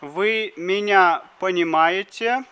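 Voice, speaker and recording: Slow, crisp man reading drill phrases. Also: high voice, sharp tone. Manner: deliberate, carefully articulated phrases. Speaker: language lesson speaker.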